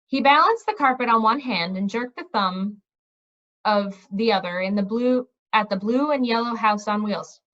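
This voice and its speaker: A woman's voice: on the high side, moderate pace, a bit bright, dull audio. A woman narrating a storybook aloud.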